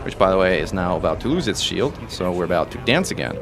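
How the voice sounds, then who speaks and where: A man from Italy whose first language is Italian, giving excitable live commentary as he plays, talking through each move. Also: low-pitched, fast talker, over music. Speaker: streamer and commentator.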